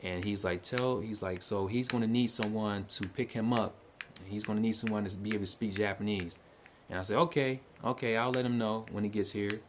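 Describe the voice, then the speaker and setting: Low-voiced man rambling through a story. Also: steady tone, fairly quick, background noise. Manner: loose, full of fillers and restarts. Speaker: vlogger answering viewer questions.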